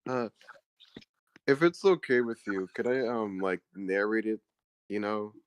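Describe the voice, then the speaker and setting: A man's voice: on the low side, unhurried, clean tone, no room sound. A man in casual conversation, asking politely and hesitantly as a participant in a reading group. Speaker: table read participant. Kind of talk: casual chat.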